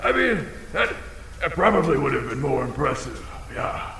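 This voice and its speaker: A big-talking, insecure dragon in a comedy audio drama, with a heavily creaking, gravelly voice and forceful, biting edge. The delivery swoops wildly from grand menace to fussy grumbling, echoing through a long cavernous reverb. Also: male voice, sing-song, normal speed, heavily acted. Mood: flustered. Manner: theatrical booming threats collapsing into fussy, casual muttering. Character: blustering, insecure, half-blind dragon with huge teeth.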